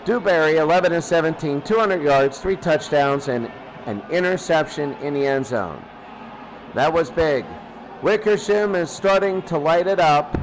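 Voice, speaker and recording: A man announces a game live in a high-pitched voice. He calls each play emphatically and doubles back on phrases for effect. Faint noise sits behind him. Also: moderate pace, rough recording. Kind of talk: live sports play-by-play commentary.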